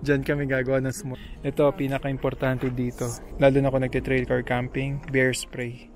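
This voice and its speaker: A man with a gravelly voice talks in a flat, even tone as he runs through the family's camping gear piece by piece. He is recorded outdoors at a forest campground on a handheld camera, with music playing clearly under his voice. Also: mid-range voice, a little fast. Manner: listing gear item by item, explaining each. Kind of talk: casual show-and-tell vlog.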